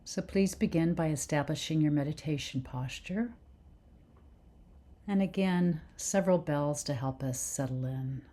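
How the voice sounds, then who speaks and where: A woman leading a guided meditation with soothing, gentle instruction in unhurried phrases. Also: low-pitched.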